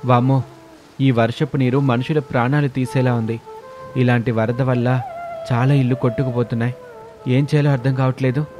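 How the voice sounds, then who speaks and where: A man's voice: mid-range voice, little pitch movement, normal speed, long pauses, over music, close to an everyday voice. A man voicing an exasperated, easygoing adult son and father in a family story. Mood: alarmed.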